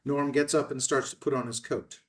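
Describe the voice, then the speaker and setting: A man's voice: medium pitch, flat delivery, fairly quick, far from the mic. A man reading scene headings and stage directions in a clipped, scripted narration at a table read.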